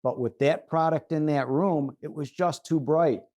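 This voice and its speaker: A man's voice: a little high, steady tone, measured pace, mellow. A man hosting a conversational tips segment, explaining things step by step in an articulate way. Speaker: host and audio reviewer.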